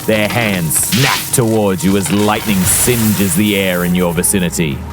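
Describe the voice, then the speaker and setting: A man narrating a tabletop game as game master, theatrical and deliberate, telling the action like a dramatic story. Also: low-pitched, low-quality recording. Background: background music.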